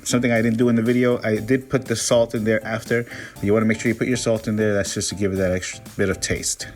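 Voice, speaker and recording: A man's voice: fairly low pitch, little pitch movement, quick pace, soft background music. A man from Peru hosting a cooking vlog, talking through a recipe in an upbeat, chatty way with small jokes at his own expense. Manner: upbeat, chatty, self-joking. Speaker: cooking vlogger. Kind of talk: casual cooking tutorial.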